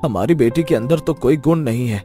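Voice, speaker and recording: A man's voice: mid-range voice, a little fast, over music, close to an everyday voice. A man voicing a worried, pessimistic father who frets, in a moral story. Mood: resigned. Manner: fretful.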